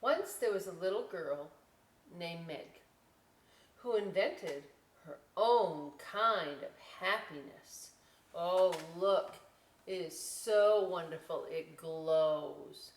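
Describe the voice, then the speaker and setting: A woman's voice: fairly low pitch, expressive, slow pace. Woman reading a storybook, roomy distant recording. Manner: conversational, explains pictures as to young children. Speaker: librarian story time host. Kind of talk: picture-book read-aloud with casual asides. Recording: roomy, distant mic with clear noise.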